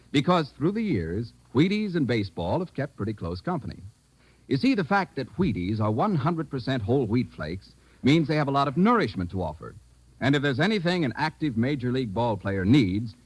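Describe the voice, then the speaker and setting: An American man reading a folksy sponsor pitch as a 1940s radio announcer. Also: husky, no echo, rough recording.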